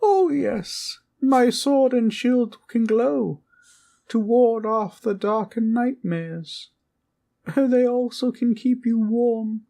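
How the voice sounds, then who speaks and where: A small, courtly teddy bear guardian, played by a woman, speaks in a high, soft and mellow voice. The little bear takes its time, sweet and childlike, offering polite, old-fashioned bedtime comfort as in a gentle audio roleplay. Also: slow pace, gravelly. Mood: tender, earnest.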